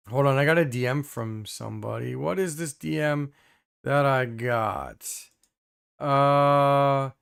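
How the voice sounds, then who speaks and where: A hoarse young man hosting a casual live stream, reading viewer comments with many fillers and throat clearing. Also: mid-range voice, fairly bright tone.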